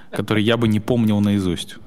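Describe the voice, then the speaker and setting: A young man with a fairly low voice chats casually on a podcast. He talks haltingly, restarting his sentences and letting short laughs slip between thoughts. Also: fairly even intonation, darkish tone. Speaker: podcast co-host.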